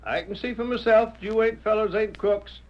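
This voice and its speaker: An actor playing a wounded, folksy old retired sheriff in a 1930s radio drama, excitable and twangy. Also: male voice, sits high, moderate pace, dull audio, lightly acted. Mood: wary.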